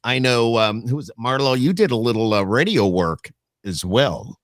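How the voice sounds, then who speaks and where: A man's voice: mid-range voice, expressive, normal speed, no echo. Casual male podcast host, dry close sound. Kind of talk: casual interview chat.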